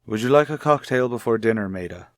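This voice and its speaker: An actor playing a cold, short-tempered, domineering husband in a 1950s radio soap, formal and condescending. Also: male voice, lowish voice, lively intonation, fast, no room sound, studio quality. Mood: smooth, insistent. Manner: formal, condescending, clipped disapproval.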